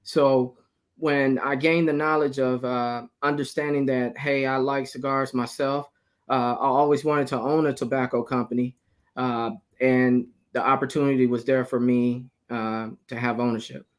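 A man in his forties, from Alabama, talking as an interview guest about his business. He speaks easily, laughing now and then and pausing with many "uh"s. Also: medium pitch, flat delivery. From Alabama, USA. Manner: easygoing, laughs often, many "uh" pauses. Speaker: guest, cigar company owner. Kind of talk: casual interview.

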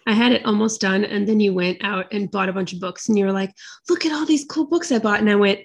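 A woman's voice: medium pitch, fast, gravelly. A woman co-hosting a podcast, chatting casually and answering in short, agreeable remarks. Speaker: podcast co-host. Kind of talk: casual chat about books.

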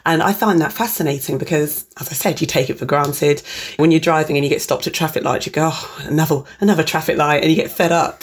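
A middle-aged woman broadcaster from the UK answering interview questions, reflective and enthusiastic as she tells stories. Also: low-pitched.

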